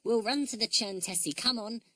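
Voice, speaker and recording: A cheerful wooden toy boy bounces through a storybook, as a woman puts him on. His voice sits high and chirpy, eager and excitable, lifted well above a normally low speaking voice. The sound is close and dry. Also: normal speed, dry recording.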